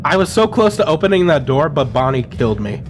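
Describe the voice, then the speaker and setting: A man's voice: a little high, very animated, fairly quick, slight background noise. A man with a cold hosting casual gameplay chat with friends, repeating short instructions to his teammates. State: sick. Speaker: gaming video host. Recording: game music behind voice.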